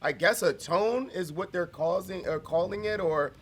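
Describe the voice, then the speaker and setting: A man from the Philadelphia area co-hosting a casual discussion, explaining his points in a measured way and sometimes searching for words. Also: high voice, slightly rough. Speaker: co-host.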